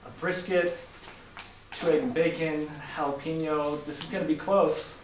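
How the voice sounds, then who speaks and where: A man's voice: fairly high pitch, steady tone, moderate pace, lightly acted. A man acting in a staged play reading as a skeptical, grumbling customer with a dry, wry delivery. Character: skeptical, dryly grumbling male customer, a bakery regular. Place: live staged reading in a room. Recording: roomy, distant mic, faint noise.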